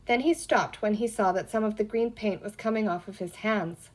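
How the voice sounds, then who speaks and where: A woman reading a children's story aloud as scripted, expressive narration. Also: mid-range voice, moderate pace. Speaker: audiobook reader.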